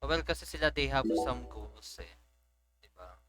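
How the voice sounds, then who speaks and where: A man's voice: mid-range voice, expressive, normal speed, over music. A Filipino man, native in Filipino, giving casual gaming commentary as a streamer, chatting and switching between English and Tagalog. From Philippines. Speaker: VTuber streamer.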